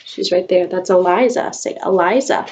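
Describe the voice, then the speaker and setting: A woman reading a picture book aloud to a young child, expressive and coaxing, in the manner of a teacher. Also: mid-range voice, measured pace, a little hiss or hum. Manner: expressive, coaxing talk aimed at small children.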